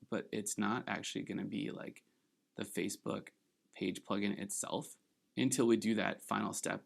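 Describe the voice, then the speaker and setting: A man hosting a screen-recorded software tutorial, giving upbeat, encouraging step-by-step instructions while slightly losing his voice. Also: on the low side, gravelly. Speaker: tutorial host.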